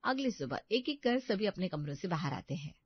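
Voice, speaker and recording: A woman's voice: on the low side, very animated, a little fast, breathy edge, no echo, lo-fi sound. A woman reading scripted story narration as the narrator.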